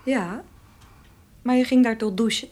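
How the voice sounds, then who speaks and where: An actress playing a frank, gently teasing countrywoman in a naturalistic radio drama. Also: on the high side, measured pace. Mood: cautious, probing. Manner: direct, teasing.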